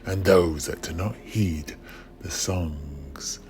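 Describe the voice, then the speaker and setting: A man voicing a menacing old undead pirate captain, courteously cruel, speaking deliberately in a breathy whisper. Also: low voice, a little mellow, slight background noise, voice pushed down. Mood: menacing, condescending. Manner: slow, deliberate, mock-affectionate.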